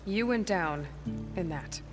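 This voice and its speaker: A woman's voice: fairly low pitch, lively intonation, slow. A woman playing a young, practical, curious hunter in a video game cutscene, speaking in a dry, matter-of-fact way. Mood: curious, direct. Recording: loud music behind the voice. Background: music.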